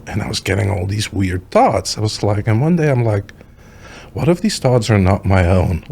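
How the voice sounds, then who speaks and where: A man's voice: on the low side, a little mellow. A middle-aged man from Belgium with a Belgian accent, telling his personal story conversationally as a podcast guest.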